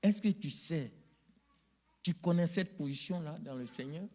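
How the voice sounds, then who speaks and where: A middle-aged pastor, preaching a sermon in a church, calling out to the congregation and rising to loud emphatic peaks. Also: male voice, fairly high pitch, a little slow.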